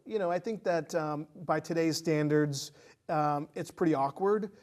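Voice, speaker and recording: A man with a fairly high-pitched voice gives a casual film review in conversation. He speaks in a hesitant, halting way, feeling out his phrasing as he goes. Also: a little mellow.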